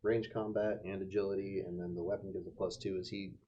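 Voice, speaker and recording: A man's voice: low voice, level tone, fast talker, dark tone, dull audio, a little hiss or hum. A man chatting casually as a player in a tabletop game.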